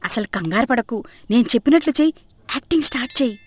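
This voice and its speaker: A woman's voice: a little high, lively intonation, rapid-fire, husky. A woman voicing a scheming, bossy old mother-in-law in a comic moral story, scolding and exclamatory. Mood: bossy, sly.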